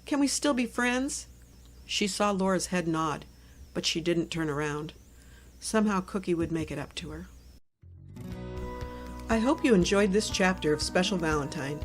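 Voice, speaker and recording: Woman narrating a children's storybook. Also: fairly low pitch. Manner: clear simple phrasing for young children. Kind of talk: scripted children's read-aloud narration.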